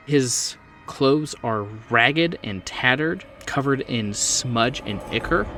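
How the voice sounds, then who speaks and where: A man's voice: medium pitch, fairly bright tone, recorded from a distance. A man working as game master, narrating a horror tabletop game in a descriptive, conversational way. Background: background music.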